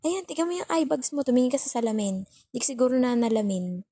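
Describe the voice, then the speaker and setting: Woman acting as nagging, mellow-voiced Filipino girlfriend. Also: fairly high pitch, expressive, fast talker, husky, no room sound. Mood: nagging, teasing. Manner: scolding, sulky, teasing, repeats herself for emphasis. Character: nagging, worried, overthinking young girlfriend, bossy but caring. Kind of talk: intimate ASMR girlfriend roleplay.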